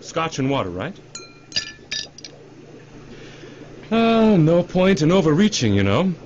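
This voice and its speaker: A man's voice: fairly high pitch, big pitch swings, moderate pace. An actor in a radio drama playing a glib, defensive middle-aged executive who cajoles and repeats himself like a salesman. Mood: cajoling. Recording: dull radio drama recording, faint noise.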